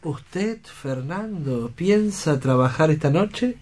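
Learned doctor, slow and measured. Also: male voice, on the high side, expressive, darkish tone. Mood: probing. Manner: deliberate, lecturing. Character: learned, measured male doctor, gravely analytical. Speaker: radio drama actor. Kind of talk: theatrical radio play acting.

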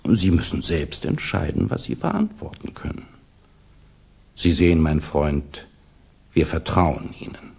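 An actor playing a suave, insinuating Russian official in a 1960s German radio drama, speaking with deliberate, false courtesy. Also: male voice, a bass voice, gravelly, a little mellow, slightly rough, heavily acted. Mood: smooth, insinuating.

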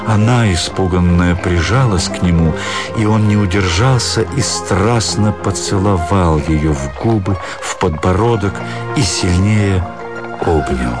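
A man narrating a literary story for radio, with expressive, measured dramatic phrasing. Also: low-pitched. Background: music.

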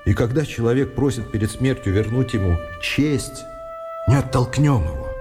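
An actor playing an eloquent, lofty and humane intellectual in a radio drama, speaking in ornate rhetorical phrases. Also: male voice, mid-range voice, moderate pace, lightly acted. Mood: lofty, solemn. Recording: reverberant radio play with music behind.

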